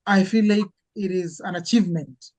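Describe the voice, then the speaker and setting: A man from Kenya, a guest speaking earnestly and haltingly as he searches for words. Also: high-pitched, darkish tone. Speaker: conservationist and medic guest. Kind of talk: casual interview chat.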